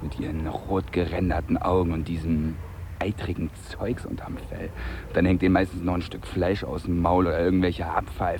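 An actor playing a bitter, foul-mouthed jobless labourer in a radio drama, grumbling cynically and coarsely. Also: male voice, sits low, noisy background. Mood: disgusted, bitter. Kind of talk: naturalistic radio drama dialogue.

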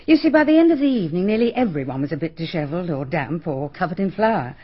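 An actress playing a proper, defensive middle-aged hostess, crisp and emphatic, with a husky voice, in a radio drama. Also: female voice, lowish voice, sing-song, fast talker. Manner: crisp, emphatic, slightly indignant. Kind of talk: BBC radio drama acting. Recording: dull archival radio recording.

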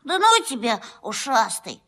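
Young hedgehog: high sharp voice, unhurried, grumpy and curious, children's storybook play. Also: female voice, sing-song, exaggerated voice. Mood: grumpy, doubtful. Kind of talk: children's audio play acting.

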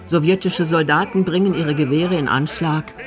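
A woman's voice: low voice, fairly even intonation, moderate pace, over music. A woman reading scripted documentary narration as a radio narrator.

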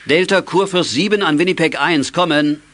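Man playing a calm, commanding radio-veteran dad. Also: on the high side, normal speed, a little hiss or hum, close to an everyday voice. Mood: brisk, commanding. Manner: commanding, dryly humorous. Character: calm, wry father and CB veteran, middle-aged. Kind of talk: 1980s German children's audio drama acting.

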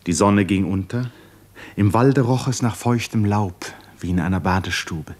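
Low-voiced solemn male radio narrator. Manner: solemn, articulate, expressive pauses. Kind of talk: dramatic literary narration, 1950s German radio drama.

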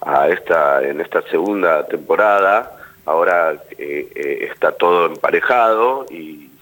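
Man, low voice, Argentine accent, casual interview guest, actor, halting repeated phrasing. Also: fairly bright tone, low-quality recording. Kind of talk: casual radio interview talk.

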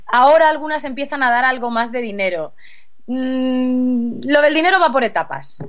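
A woman in her thirties from Spain, answering interview questions casually with frequent laughs and restarts. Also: high-pitched. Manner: relaxed, laughs often, restarts sentences. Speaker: interview guest, actress.

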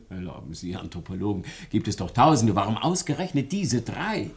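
A man's voice: lowish voice, sing-song, moderate pace, vocal fry, a little mellow. An actor playing a courteous older professor in a radio play, scholarly and slightly evasive, often repeating his words. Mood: dismissive. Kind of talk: German radio play acting.

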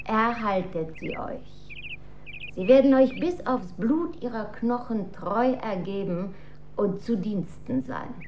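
An actress playing an imperious, manipulative dowager empress in a German radio drama, speaking in a formal, stately and measured manner. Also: female voice, mid-range voice, lively intonation. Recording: dull recording with faint noise.

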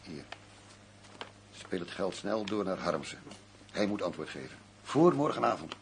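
A low male voice over faint hum and noise. He is a shrewd arms dealer in a radio crime play, curt and commanding, talking down to whoever bargains with him. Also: sing-song, unhurried, creaky, full character voice. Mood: brisk. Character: shrewd, stubborn male arms dealer, coolly condescending negotiator. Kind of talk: crime radio drama acting.

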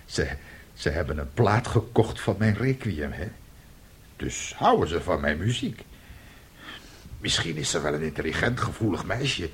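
An actor in a radio drama playing an aggrieved, dreamy, middle-aged composer with a plaintive, self-pitying manner. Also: male voice, deep voice, expressive, a little slow, long pauses, slight background noise. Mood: wistful, hopeful. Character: aggrieved, dreamy, ageing failed composer and father.

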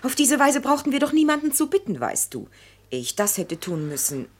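An actress playing a loving, cheerful young mistress in a radio play, coaxing in a husky voice. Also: female voice, high voice, big pitch swings, normal speed, exaggerated voice. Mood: tender, soothing. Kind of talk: German radio play acting.

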